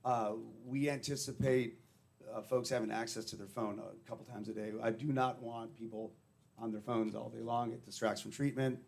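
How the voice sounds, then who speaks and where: A man, slightly hoarse today, speaking bluntly as a treatment facility director at a public county meeting. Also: mid-range voice, fairly even intonation, normal speed, a little mellow. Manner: blunt, plain-spoken.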